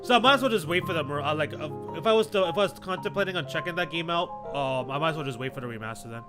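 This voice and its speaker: A man with a fairly high voice streams a video game. He thinks aloud in slangy, repetitive phrases, talking casually to his chat. Game music is clearly audible behind him. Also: very fast. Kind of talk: casual livestream commentary, chatting with viewers.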